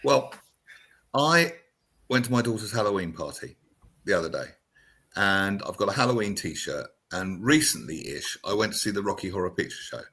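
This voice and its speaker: A man hosting a chat show, an older father telling a self-deprecating story in a chatty, relaxed way. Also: on the low side, normal speed, breathy edge. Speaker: radio show host. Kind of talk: casual chat.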